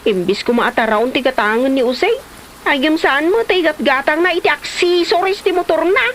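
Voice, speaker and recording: A woman plays a scolding Ilocano wife in a comedy drama. Her voice is extremely high, nagging and exclamatory with exasperation. The recording has clear background noise. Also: lively intonation, moderate pace, fairly bright tone. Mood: complaining. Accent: Ilocano Filipino. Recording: noisy amateur drama recording.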